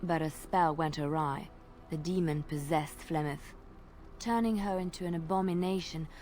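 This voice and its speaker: A woman telling an old legend in character during a tabletop roleplay, measured and dramatic, at times dropping to a hush. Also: sits low, a little hiss or hum.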